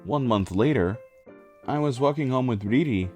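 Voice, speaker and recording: Man narrating a story, lively pitch. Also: moderate pace, soft background music. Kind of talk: scripted first-person story narration.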